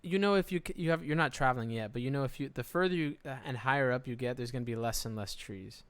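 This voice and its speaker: A man acting as game master, casually narrating a tabletop role-playing game in halting phrases. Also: medium pitch, fairly bright tone, clear, resonant tone.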